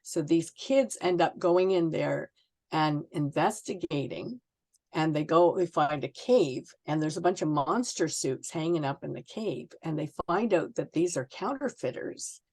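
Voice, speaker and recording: A woman's voice: low voice, animated, a little slow, a little mellow. A Canadian woman in her sixties from Ontario, chatting and telling anecdotes as a guest author in a casual interview. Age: 60s.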